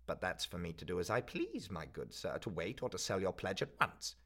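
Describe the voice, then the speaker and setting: A man's voice: low-pitched, sing-song, brisk, a bit bright, heavily acted. A man voicing a withered, distrustful old woman pawnbroker who haggles curtly and talks down to her visitor. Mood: curt, haggling. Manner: curt, haggling, condescending.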